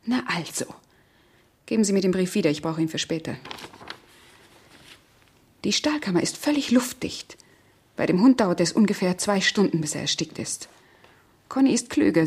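An actress playing a cold, scheming murderess, controlled and imperious, in a 1970s German radio crime drama. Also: female voice, lowish voice, a little mellow, husky. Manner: controlled, imperious, coolly persuasive.

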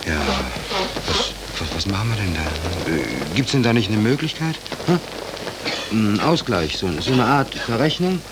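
A man's voice: lowish voice, animated, a little slow. A man playing a hapless, naively polite drifting sailor in a 1940s German radio drama, in a rambling, deferential manner. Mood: flustered, cajoling. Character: hapless, naively polite stateless sailor, wryly shrugging off trouble. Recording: noisy, hissy 1940s radio recording.

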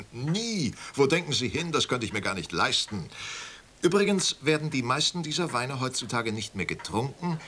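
A man's voice: medium pitch, big pitch swings, moderate pace, bright, forceful tone, slight background noise. An actor playing a shrewd, cynical older wine auctioneer in a radio play, amused and knowing as he talks. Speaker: auction house owner.

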